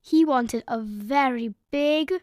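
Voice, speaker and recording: A woman narrating a children's read-aloud story in an expressive, measured storytelling manner. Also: sits high, dry recording, clean studio sound.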